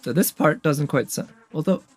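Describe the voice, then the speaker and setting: A man's voice: fairly high pitch, very animated, moderate pace, breathy edge. A man giving casual, off-the-cuff live commentary as a streamer reacting to game trailers, musing as he goes. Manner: off-the-cuff musing, sometimes humming tunes. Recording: music playing behind the voice. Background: game trailer music with guitar.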